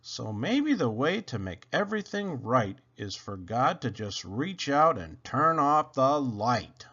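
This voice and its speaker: A man reading children's poetry aloud in an animated, theatrical way with drawn-out phrasing. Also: medium pitch, husky, highs cut off. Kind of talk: expressive read-aloud of children's verse.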